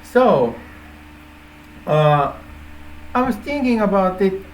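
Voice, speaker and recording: Male presenter, native Finnish speaker with Finnish accent; unhurried, hesitant explaining, light noise behind. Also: high-pitched, big pitch swings, poor audio. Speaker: app developer presenting a feature idea. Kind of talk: informal explainer to camera.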